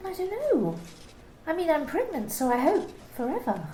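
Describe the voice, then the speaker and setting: Woman doing a meek hesitant pregnant office girl, lively pitch, echoey room. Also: normal speed. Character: timid young pregnant female office worker. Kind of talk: amateur radio play acting. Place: home during lockdown.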